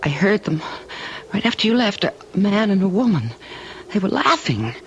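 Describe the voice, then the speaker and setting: A woman's voice: medium pitch, big pitch swings, moderate pace. A woman acting a frightened, weary but stubborn wife in a vintage radio drama, with hesitant, stammering speech. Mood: frightened, hushed. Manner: hesitant, stammering, repeats words. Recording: dull, noisy old radio recording.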